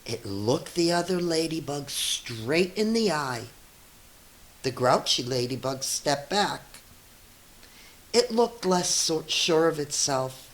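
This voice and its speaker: A woman's voice: low-pitched, sing-song, slow pace. A middle-aged or older woman teacher reading a storybook to children, explaining things simply and addressing young listeners directly.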